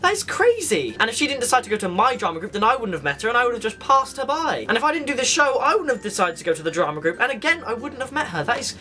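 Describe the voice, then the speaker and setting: A man's voice: extremely high pitch, big pitch swings, very fast, hardly any pauses, pushed and bright. A teenage young man, chatting casually and enthusiastically as he tells anecdotes for a vlog. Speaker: vlogger.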